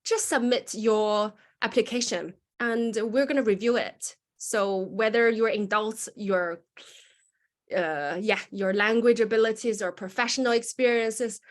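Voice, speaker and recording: A woman's voice: medium pitch, a little slow. A woman from the admissions team explaining requirements on a video call, with some self-corrections. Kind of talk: explaining requirements in online meeting.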